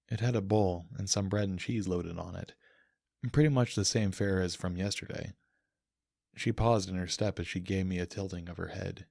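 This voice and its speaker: A man's voice: deep voice, vocal fry, a little mellow, no room sound, studio quality. A man narrating a scripted first-person story as an audiobook reader.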